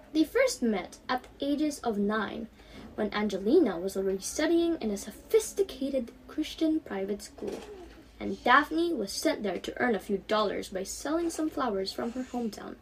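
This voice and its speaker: High-pitched teenage girl reading a story. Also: expressive, moderate pace, low-quality recording. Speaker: young author reading own story.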